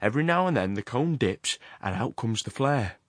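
A man voicing a forceful, loquacious ironworks man in a storybook reading, with emphatic, abrupt delivery and an undertone of menace. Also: medium pitch, expressive, moderate pace, dry recording, close to an everyday voice. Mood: insistent, expansive. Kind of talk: dramatized storybook narration.

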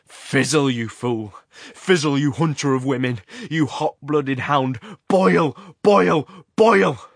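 A man's voice: mid-range voice, takes it slowly, creaky, few pauses, no room sound, clean studio sound, voice pushed up. A man voicing a furious, bawling middle-aged ironmaster in a dramatic audiobook reading, his voice raised to a savage bellow. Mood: raging.

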